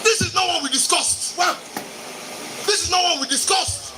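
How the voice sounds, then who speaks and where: A man's voice: very high-pitched, moderate pace. A man with a Nigerian Igbo accent acting an earnest, diplomatic village chief in a stage play. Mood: measured concern. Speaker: stage actor. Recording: noisy live stage recording.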